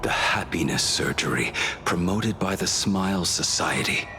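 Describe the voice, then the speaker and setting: A man playing a grim, haunted outlaw swordsman in a game cutscene, brooding and solemn, with a gravelly voice. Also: lively intonation, unhurried, background noise, exaggerated voice. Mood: grave, resolute. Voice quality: very deep, gravelly. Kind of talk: dramatic game cutscene acting.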